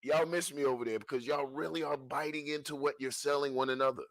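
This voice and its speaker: A man's voice: medium pitch, fairly even intonation, fast, vocal fry, fairly bright tone, dry recording. Slangy, emphatic male livestream host. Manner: slangy, emphatic, repeats phrases. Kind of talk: casual talk-show monologue.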